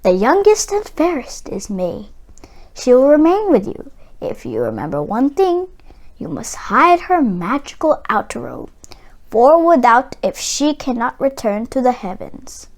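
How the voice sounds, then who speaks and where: A mysterious storybook magpie, voiced by a woman reader, speaks in a high, slow voice. It is solemn and gently commanding, with a tone that rises and falls freely. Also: very animated, exaggerated voice. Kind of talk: storybook read-aloud for children.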